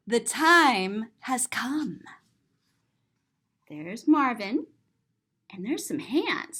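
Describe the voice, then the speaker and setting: Female children's storyteller, high voice, very slow delivery, sing-song and expressive, stretching key words for young listeners. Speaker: children's story reader and teacher. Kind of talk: animated read-aloud picture book storytelling for children.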